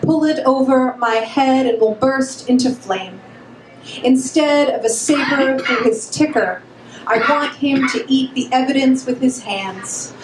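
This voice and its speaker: A woman reads poetry aloud at a measured, slow pace. Her voice is high. The room is echoey, with faint noise behind her. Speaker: poet. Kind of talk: poetry reading. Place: pub room, live reading.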